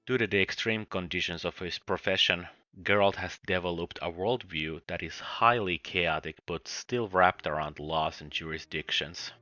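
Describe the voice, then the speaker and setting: Deep-voiced man, wry book reviewer. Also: moderate pace, pushed and bright, no room sound, highs cut off. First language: Finnish. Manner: wry, joking, with frequent chuckles. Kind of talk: scripted book review narration.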